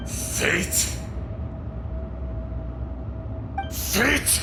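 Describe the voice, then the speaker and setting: A man voicing a bitter, wrathful ancient dragon in a theatrical, accusing game cutscene style. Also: drawn-out words. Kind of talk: dramatic video game cutscene acting. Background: game score music.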